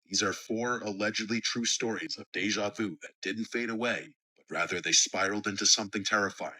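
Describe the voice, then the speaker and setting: An American man narrating scripted horror stories in a measured, serious storytelling manner. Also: fairly low pitch, bright, forceful tone, slightly rough, poor audio. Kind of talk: scripted narration of scary first-person stories.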